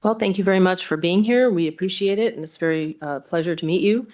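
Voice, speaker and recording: A woman's voice: fairly low pitch, quick pace, darkish tone. A woman chairing a formal public meeting in a procedural, courteous manner. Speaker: commission chair.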